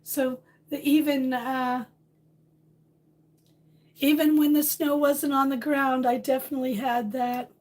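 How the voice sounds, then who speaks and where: A middle-aged woman with a high, hoarse voice hosts a casual livestream. She rambles warmly and greets her viewers by name as she chats. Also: level tone, measured pace, long pauses. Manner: warm, rambling, reads out viewer names. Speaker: livestream host. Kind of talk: casual live chat with viewers.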